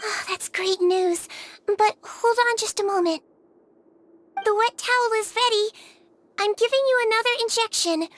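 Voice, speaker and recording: A woman voicing a small, gentle, caring young nurse girl in a video game, soothing and encouraging. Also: very high-pitched, normal speed, pauses often.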